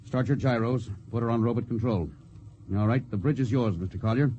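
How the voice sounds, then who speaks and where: Actor as commanding old-time radio spaceship captain. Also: male voice, medium pitch, a little fast, mellow, highs cut off, slight background noise, natural voice. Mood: brisk, commanding. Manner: clipped, authoritative orders. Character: gruff commanding male spaceship captain, short-tempered but soft-hearted. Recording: vintage radio drama recording.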